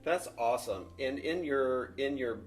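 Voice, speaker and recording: A man's voice: medium pitch, unhurried, faint music behind. A man interviewing an author, friendly, introducing the book and asking questions with some stumbles and repeated words.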